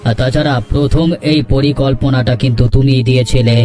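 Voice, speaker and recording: A man's voice: mid-range voice, fairly even intonation, a little fast, a little mellow, lightly acted. A man voicing a stern, unbending elder brother who rules his household in a cartoon, with a commanding, severe manner. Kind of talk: Bengali cartoon voice acting.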